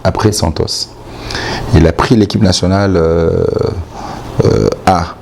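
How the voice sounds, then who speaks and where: A man's voice: sits low, fairly even intonation, takes it slowly, background noise. A man with a Congolese French accent giving outspoken, emphatic opinions in a sports interview, often repeating points for stress. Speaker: sports commentator being interviewed.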